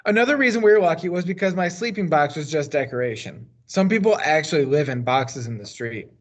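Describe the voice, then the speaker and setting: A man's voice: on the high side, lively intonation, a little fast. An American man reads a children's novel aloud as a teacher would, stressing the starts of phrases and letting the endings fall. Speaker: teacher reading a book aloud. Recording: dull, lo-fi sound.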